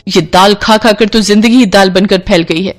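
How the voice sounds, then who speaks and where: A woman's voice: medium pitch, fairly even intonation, moderate pace, close to an everyday voice. A woman voicing a fed-up but timid young Indian daughter-in-law, whiny and exasperated, in a story. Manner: whiny, exasperated, polite to elders.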